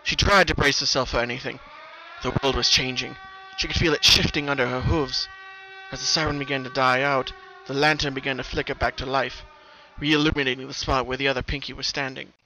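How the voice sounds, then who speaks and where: A man's voice: medium pitch, fairly even intonation, brisk, pushed and bright, a little hiss or hum, poor audio. A man reading scripted story narration in a dramatic style. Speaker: narrator of fan fiction.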